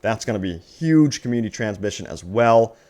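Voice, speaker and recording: A man's voice: lowish voice, expressive, fairly quick, a little mellow. Enthusiastic man hosting gaming news. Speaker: gaming news video host. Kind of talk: upbeat fan news commentary, reading out quotes.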